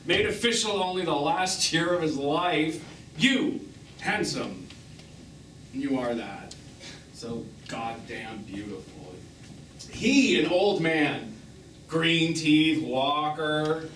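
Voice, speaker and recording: A sarcastic, wounded man in middle age, delivers cutting stage-drama lines in a lively, rather high voice. He is emphatic and theatrical. The sound is roomy and noisy, picked up from out in a theatre. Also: takes it slowly, recorded from a distance. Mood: scornful. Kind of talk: live one-act stage drama acting. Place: small theatre stage, recorded from afar.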